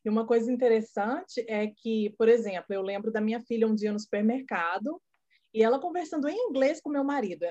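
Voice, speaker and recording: Woman hosting an interview chat, kinda high voice, thinks out loud. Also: a little fast, highs cut off. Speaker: interview host. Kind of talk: conversational interview.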